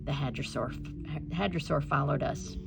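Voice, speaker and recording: A woman reading a children's storybook aloud in an expressive narrating style, with some creak in the voice. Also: sits low, normal speed, over music. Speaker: storybook reader.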